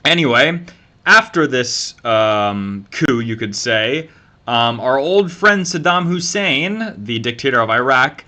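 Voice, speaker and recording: A man's voice: medium pitch, bright, forceful tone. A young man with a Southern US accent hosting his channel, explaining history in a casual, chatty way with frequent ums. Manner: chatty, conversational, many ums and uhs. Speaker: YouTube channel host.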